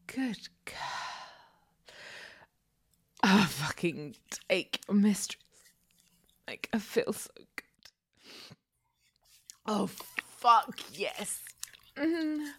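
A woman voicing a possessive, sadistic dominant woman in an audio roleplay, whispering breathily with sighs and low laughs. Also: big pitch swings, very slow, long pauses, fairly bright tone, husky, full character voice. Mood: breathless, heated.

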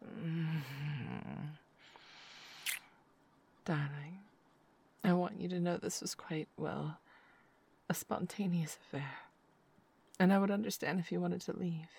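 A woman voicing a tender, possessive noblewoman lover in an intimate audio roleplay, talking in soft, slow whispers with quiet laughs. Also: high-pitched, big pitch swings, a little hiss or hum.

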